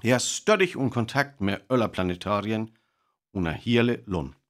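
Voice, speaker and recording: A man with a North Frisian accent playing an earnest live radio reporter in a radio play, announcing formally and deliberately. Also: lowish voice, expressive, a bit bright. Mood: earnest, attentive.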